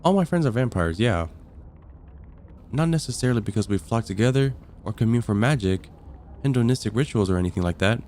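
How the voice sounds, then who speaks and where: Man acting as vampire caseworker, lowish pitch, calm, patient, gently wry, conversational ASMR roleplay, background ambience. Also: fairly quick, slight background noise, natural voice. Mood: matter-of-fact, wry. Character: friendly, patient vampire caseworker onboarding a newcomer, dryly amused.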